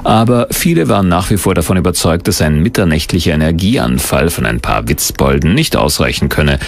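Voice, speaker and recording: A man's voice: low-pitched, animated, a little fast, gravelly, slight background noise. A man narrating a documentary from a script in a dramatic, suspenseful manner.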